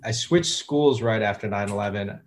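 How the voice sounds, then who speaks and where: A man in his thirties, a guest talking casually in an interview, with a relaxed, hesitant way of talking full of fillers and restarts. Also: on the low side, moderate pace. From United States. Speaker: guest, music producer.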